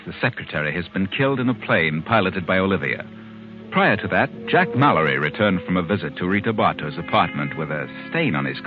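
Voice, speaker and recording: An actor playing a commanding, probing man who narrates and questions in 1940s radio mystery style. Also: sits low. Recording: tinny 1940s radio broadcast with music.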